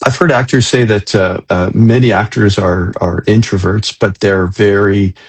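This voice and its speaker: Male host, middle-aged or older, husky and lowish, casual and hesitant in conversation. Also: steady tone. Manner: hesitant, halting, repeats words while thinking aloud. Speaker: interview host. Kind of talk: casual live interview chat.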